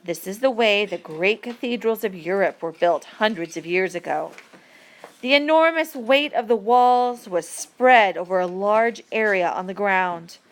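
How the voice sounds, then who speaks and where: A woman reading an educational passage aloud as a teacher, with deliberate, measured phrasing for learners. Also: medium pitch, animated. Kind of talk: read-aloud educational narration.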